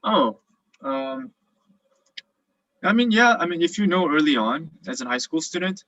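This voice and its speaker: A man's voice: fairly high pitch, expressive, measured pace, sharp tone, dry recording, rough recording. A young man who grew up in South Korea and is now a dental student, explaining cases casually with lots of fillers over a video call. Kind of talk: virtual presentation and Q&A.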